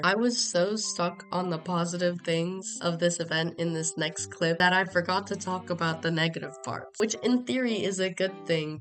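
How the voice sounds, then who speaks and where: A young woman chatting casually and upbeat in a vlog, full of "like" and "literally". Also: lowish voice. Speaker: small business vlogger. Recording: background guitar music.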